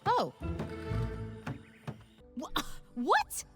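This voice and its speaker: A woman playing a wry, earnest, easily flustered young heroine in an animated comedy game. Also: sits high, drawn-out words, faint music behind, full character voice. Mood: flustered, curious.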